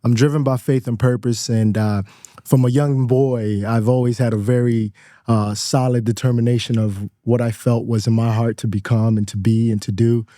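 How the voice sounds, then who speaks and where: A man in his thirties from Nigeria talks as a guest in a studio. His voice is warm and mellow, recorded dry and close. He is reflective and laughs easily, repeating words as he gathers his thoughts. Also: mid-range voice, little pitch movement, moderate pace, no room sound. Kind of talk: casual interview chat.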